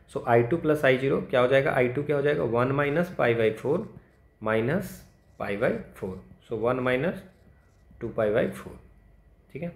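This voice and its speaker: A man's voice: mid-range voice, roomy sound. A man tutoring math, solving exam problems aloud, thinking through steps and correcting himself.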